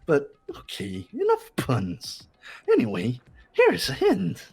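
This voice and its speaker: A performer voicing a teasing, theatrical villainous mascot game-master in a game, with a gravelly, husky voice. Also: mid-range voice, wildly swooping pitch, takes it slowly, darkish tone, faint music behind, voice pushed up, exaggerated voice. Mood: smug, teasing. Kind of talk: fan voice acting of a visual novel game.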